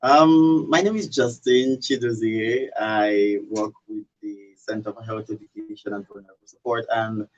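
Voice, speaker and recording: A man with a Nigerian accent speaking as a radio studio guest, formal and halting. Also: fairly low pitch, steady tone, recorded from a distance, highs cut off. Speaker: studio guest, gender equality advocate. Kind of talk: radio interview.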